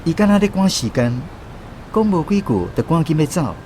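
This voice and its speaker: A man's voice: fairly high pitch, very animated, normal speed, dark tone, slight background noise. A man with a Taiwanese accent narrating a scripted first-person story for radio. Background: instrumental music.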